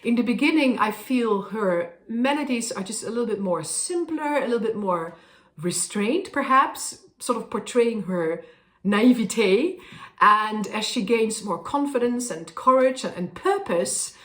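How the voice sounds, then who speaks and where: A woman's voice: fairly high pitch, expressive, a little slow. Dutch woman singer in an echoey room. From Netherlands. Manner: thoughtful, explanatory, with soft fillers. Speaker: professional singer. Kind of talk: casual explanation to camera. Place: room with a harpsichord, indoors.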